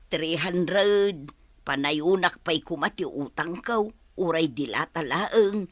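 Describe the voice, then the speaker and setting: Casting: hard-up village mother, expressive, Ilocano accent, bright forceful tone, close dry sound. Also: low-pitched, slow, dry recording, full character voice. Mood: pleading. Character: hard-up, plain-spoken village mother owing money. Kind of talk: Ilocano radio comedy drama acting.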